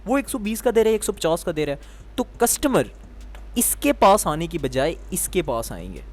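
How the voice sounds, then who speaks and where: Male trading teacher, sore throat, slightly high voice, question-and-answer explaining. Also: very animated, normal speed, darkish tone, faint music behind. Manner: explains step by step, asking the viewer questions and answering them himself. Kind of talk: explanatory lesson.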